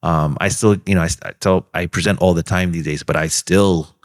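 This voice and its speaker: A man answering questions on a panel, giving earnest, measured advice with frequent pauses and ums. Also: deep voice, steady tone. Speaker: alumnus panelist.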